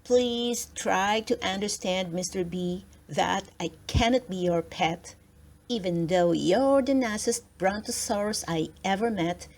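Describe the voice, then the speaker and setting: A woman's voice: mid-range voice, unhurried, a little mellow, close to an everyday voice. A woman voicing a plucky young girl, earnest and friendly, in a children's storybook. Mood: earnest, regretful.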